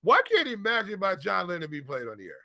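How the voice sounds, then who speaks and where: A man co-hosts a casual sports show in a fairly high, bright voice. He talks in halting bursts, doubling back on his phrases, and is recorded dry and close. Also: wildly swooping pitch, vocal fry, dry recording. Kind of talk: casual fantasy football banter.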